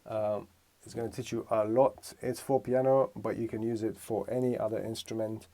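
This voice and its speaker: A man's voice: lowish voice, little pitch movement, moderate pace, warm tone. A man from Romania giving a casual music lesson, thinking aloud and correcting himself as he explains. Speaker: music teacher.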